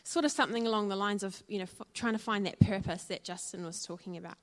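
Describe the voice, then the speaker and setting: A woman's voice: mid-range voice, quick pace. Earnest New Zealand woman giving testimony. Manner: earnest and reflective, with frequent fillers. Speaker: church speaker sharing her story. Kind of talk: personal testimony talk. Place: church.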